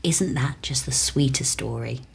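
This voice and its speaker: A soft-spoken woman hosting a children's storytime, narrating a picture book in a gentle, soothing way. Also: rumbling low, measured pace, gravelly, husky.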